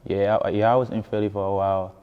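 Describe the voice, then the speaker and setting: A man's voice: on the low side, little pitch movement, quick pace, smooth. A man from Ghana with a Ghanaian accent telling his story in a casual interview, often repeating words for emphasis. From West Africa, grew up in Ghana. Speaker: musician being interviewed. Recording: echoey hall, dull muffled sound.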